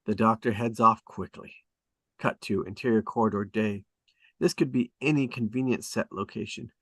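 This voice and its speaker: A man reading stage directions aloud at a script table read, in a deliberate, descriptive way. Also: on the low side, fairly bright tone, breathy edge, no room sound.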